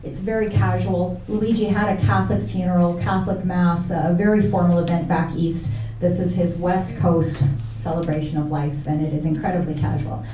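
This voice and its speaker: A woman acting as emcee, making relaxed, filler-heavy announcements to a room. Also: on the low side, normal speed, hardly any pauses, a little hiss or hum. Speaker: event emcee. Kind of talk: casual announcements. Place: large hall at a memorial gathering. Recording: echoey hall, distant, crowd chatter.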